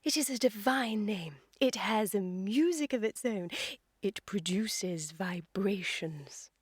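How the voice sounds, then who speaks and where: A woman's voice: mid-range voice, lively intonation, moderate pace, a little mellow, slightly rough, no echo, studio quality. A woman playing a self-assured, romantic young upper-class English lady in a comic radio play, speaking in a precise, affected way. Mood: assured adoration. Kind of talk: theatrical comedy-of-manners radio acting.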